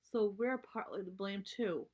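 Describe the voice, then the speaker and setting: A woman's voice: mid-range voice, a little slow, darkish tone, close to an everyday voice. Lady doing a papa bear from a kids book, mild and reasonable, explaining stuff. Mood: reasoning. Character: mild, reasonable father bear in a children's storybook.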